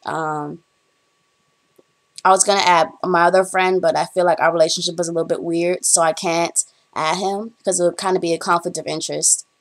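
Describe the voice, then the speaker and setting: Young female vlogger, lowish voice, chatty and rambling, fillers throughout. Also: steady tone, a little fast. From Bahamas. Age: young adult. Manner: chatty, rambling storytelling with frequent ums. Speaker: vlogger, college student. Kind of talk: casual chat to camera.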